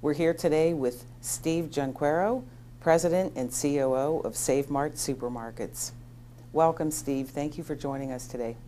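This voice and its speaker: A woman's voice: low-pitched, normal speed, dark tone, a little hiss or hum. A middle-aged woman hosting a studio business interview, asking polished, brief questions. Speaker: interview host.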